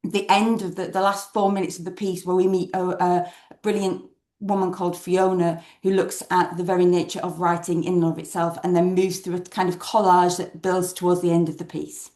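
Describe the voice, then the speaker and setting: A woman's voice: fairly low pitch, level tone, brisk, dark tone. A woman presenting her creative work as an arts director in an online talk. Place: indoor room on a video call. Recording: roomy, echoing online call.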